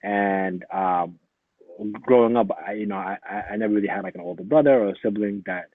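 A man born in Somalia, a guest in a casual interview, talking in a relaxed, rambling way full of fillers and restarts. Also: fairly low pitch, fairly quick, no echo. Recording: tinny phone-like lo-fi line, dry.

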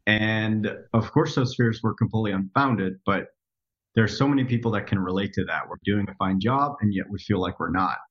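A man in his thirties from the Netherlands, a podcast guest telling his story in a reflective, halting way with many restarts. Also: lowish voice, dull audio. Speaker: podcast guest, software developer. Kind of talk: casual interview storytelling.

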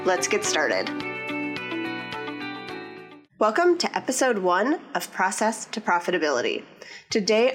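A woman hosting a podcast, reading a friendly scripted intro and then asking interview questions. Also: medium pitch. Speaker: podcast host.

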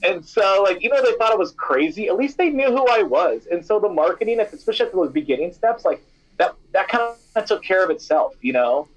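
A man's voice: sits high, a little fast, muffled recording. A young man, a snack company founder and former radio DJ, answering interview questions in a casual, chatty way, full of "you know" and "like". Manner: chatty and animated, many fillers like "you know" and "like".

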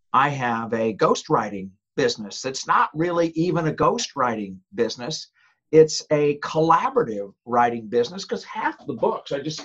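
A husky-voiced American man in his sixties with a Southern accent and a pitch that swings widely. He chats with animated ease as a podcast guest, and his voice comes through a dull, lo-fi recording. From United States. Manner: animated storyteller, laughs often. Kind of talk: casual interview talk.

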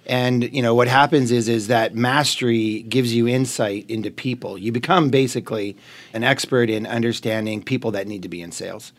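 Casual male interviewer, rambling delivery. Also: mid-range voice. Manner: rambling, repeats words while building up to questions.

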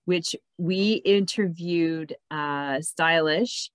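A woman's voice: lowish voice, extremely slow, clean tone, no room sound, studio quality. A woman hosting a casual podcast interview, chatting in an enthusiastic way. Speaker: podcast host.

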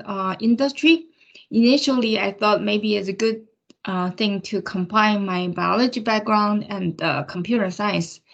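A woman's voice: mid-range voice, dull audio. Woman in her 50s, native Chinese speaker from China, interview guest. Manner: plain storytelling with light laughs. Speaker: software engineering manager. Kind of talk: interview answers.

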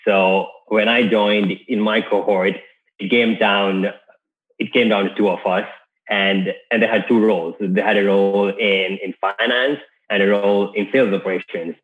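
A man's voice: on the low side, fairly even intonation, normal speed. A man from Kenya, a podcast guest, telling his career story in an easygoing, casual way with many restarts. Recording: tinny phone-like line.

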